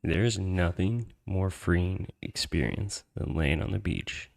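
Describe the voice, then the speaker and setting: Deep, gravelly-voiced man telling a story. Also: takes it slowly. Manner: slangy, swearing, conversational. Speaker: podcast host telling a personal story. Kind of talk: casual first-person storytelling.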